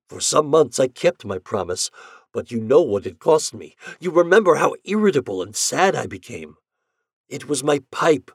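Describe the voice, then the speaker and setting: A man voicing a mild young husband who explains himself in a gentle, apologetic way. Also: mid-range voice, breathy edge, no echo, clean studio sound, voice pushed up.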